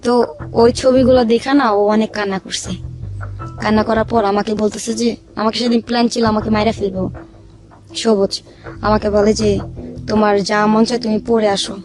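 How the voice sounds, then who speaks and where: A woman's voice: mid-range voice, little pitch movement, moderate pace, long pauses. A woman with a Bangladeshi accent tells her life story informally as a guest, acting out the dialogue as she goes. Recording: music under the voice.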